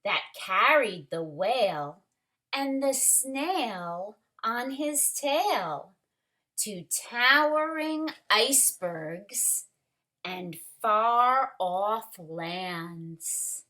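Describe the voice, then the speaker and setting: A woman with a bright, forward voice reads a children's story very slowly. She stretches her words expressively and speaks to the children directly, as a storytime teacher would. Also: mid-range voice, rough recording. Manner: expressive, deliberate, drawn-out words with asides to children. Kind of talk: read-aloud storytelling for young children.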